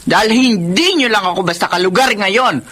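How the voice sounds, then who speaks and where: Actress as a sharp, haughty Filipina mistress. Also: female voice, medium pitch, normal speed, lightly acted. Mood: stern, bossy. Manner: scolding, domineering, sharp. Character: haughty, abusive middle-aged wife and household mistress. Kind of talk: Filipino radio drama acting.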